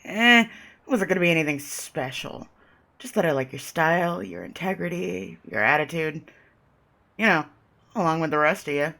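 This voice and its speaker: A woman's voice: low voice, normal speed, vocal fry, pushed and bright, exaggerated voice. A woman doing a laid-back male vampire. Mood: easygoing.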